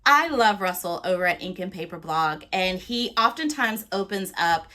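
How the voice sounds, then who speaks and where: A woman talking casually to viewers as a book vlogger, with an expressive, playful and emphatic delivery. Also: lowish voice, moderate pace. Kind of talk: casual, chatty talk to viewers.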